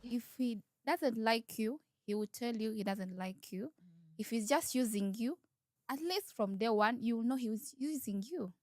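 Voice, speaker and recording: Higher-voiced Ugandan woman, dry close sound. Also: normal speed, darkish tone, dry recording. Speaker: interviewee. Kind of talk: candid informal interview.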